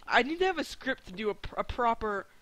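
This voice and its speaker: A teenage boy hosting a casual multiplayer game session, talking in playful, whiny bursts that jump to yelling. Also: extremely high pitch, very animated, fast, background noise. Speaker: gaming channel host. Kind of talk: casual multiplayer gaming chat.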